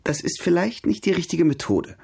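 An actor playing a charming, eloquent young gentleman in a radio drama, polite and teasing. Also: high voice, sing-song, normal speed, vocal fry, slight background noise, full character voice. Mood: polite, persuasive. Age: young adult. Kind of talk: radio drama dialogue, period literary adaptation.

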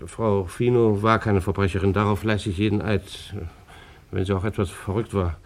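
A man plays a defensive German civil servant, a bailiff in a radio drama. His low voice has a creaky grain, and he speaks haltingly, bristling with wounded duty. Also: fairly even intonation, darkish tone, natural voice. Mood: earnest recounting. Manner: halting, easily affronted. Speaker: bailiff being questioned. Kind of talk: German radio play acting.

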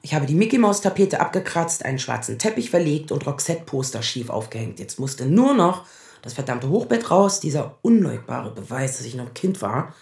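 A woman's voice: a bass voice, big pitch swings, brisk, gravelly, a little mellow, full character voice. Roomy-sounding, sardonic woman in her thirties. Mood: wry, confessional. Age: 30s. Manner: sardonic, confessional. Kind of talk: naturalistic German radio drama acting.

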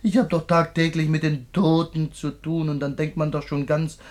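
A man's voice: fairly high pitch, flat delivery, moderate pace, a little mellow, close to an everyday voice. An actor playing a self-important, philosophising man in a radio drama, earnest and pompous in manner. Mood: indignant. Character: self-important, philosophising male assistant anatomy preparator.

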